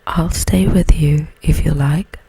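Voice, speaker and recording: A woman voicing a gentle, brave young woman in a breathy storybook whisper, tender and earnest. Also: deep voice, steady tone, takes it slowly, dry recording, heavily acted, poor audio. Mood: pitying.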